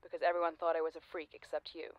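An actress playing a lonely, persistent former classmate, probing and wryly blunt, in a naturalistic audio drama. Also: female voice, low-pitched, monotone, very fast, dry recording, dull audio, close to an everyday voice. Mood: wry, vulnerable.

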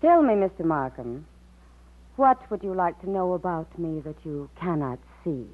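An actress playing a self-possessed, candid and teasing stage actress no longer young, arch and theatrical, in an old-time radio drama. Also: female voice, fairly low pitch, lively intonation, moderate pace, close to an everyday voice. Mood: poised, coy. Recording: muffled vintage radio recording.

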